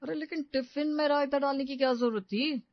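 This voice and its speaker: A woman's voice: high-pitched, fairly even intonation, brisk, no echo, dull audio, pitched above the performer's own voice. A woman voicing an exasperated young husband in a cartoon family comedy, scolding and complaining. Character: grumbling, exasperated young husband and elder brother.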